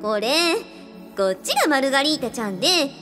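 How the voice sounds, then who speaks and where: A flirtatious, glamorous woman spirit played by an actress talks breezily and with assurance in a radio drama. Her pitch moves in lively swoops over faint music. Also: brisk. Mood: knowing. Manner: coquettish, casual, self-assured. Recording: music behind the voice.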